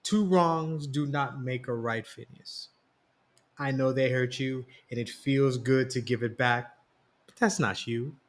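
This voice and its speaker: Male narrator playing a middle-aged father, serious and gentle, talking his son down. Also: medium pitch, sing-song, unhurried, breathy edge. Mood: gentle, firm. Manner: firm, earnest, gentle.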